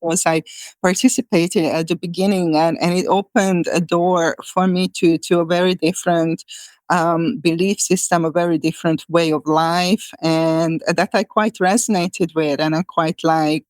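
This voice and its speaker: A woman's voice: lowish voice, fairly even intonation, moderate pace, a little mellow, no room sound. A woman in her forties from Hungary, speaking with a Hungarian accent, answering interview questions as a shamanic healer in a thoughtful way full of fillers and restarts.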